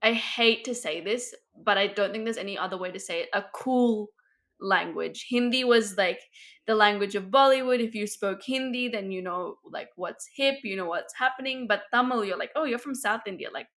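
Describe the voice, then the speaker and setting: A woman's voice: mid-range voice, normal speed, fairly bright tone. A young woman, co-hosting a podcast with her mother and talking in a chatty, thoughtful way with many fillers. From Australia. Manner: chatty, lots of "like". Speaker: podcast co-host, daughter. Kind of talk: casual conversation.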